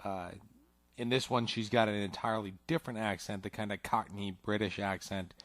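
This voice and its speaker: A man co-hosts a casual talk show in a fairly low voice. He speaks hesitantly, searching for his words. The recording is dry and close. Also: fairly even intonation, brisk, a bit bright, dry recording. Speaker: podcast co-host. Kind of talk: casual chat.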